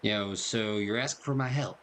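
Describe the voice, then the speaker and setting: A man's voice: lowish voice, moderate pace, a bit bright. A man hosting a gaming video, reading dialogue and commenting in a casual, slangy, joking way. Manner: jokey, slangy.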